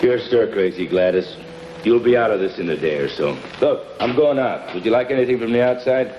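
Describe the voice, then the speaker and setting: An actor playing a cool, stoic, loyal crook in a 1950s television crime drama, with a deadpan, measured delivery. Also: male voice, on the low side, close to an everyday voice. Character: cool, stoic one-armed male crook, fiercely loyal. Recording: dull vintage broadcast audio, faint hiss.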